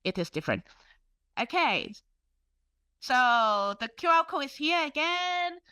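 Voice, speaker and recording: Bright upbeat Cantonese-speaking woman presenter. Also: high voice, sing-song, slow pace, dry recording, clean studio sound. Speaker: webinar presenter. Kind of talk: presenting a library webinar.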